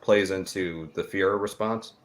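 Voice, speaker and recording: A man hosting a conversational podcast interview, feeling his way through questions in a halting manner. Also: sits low, steady tone.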